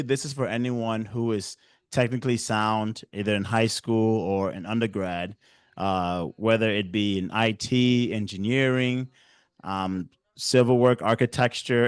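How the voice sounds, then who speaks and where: A man's voice: lowish voice, smooth, no room sound, studio quality. A man hosting a casual interview, talking loosely with many fillers and restarts. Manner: filler-heavy, restarts often. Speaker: host.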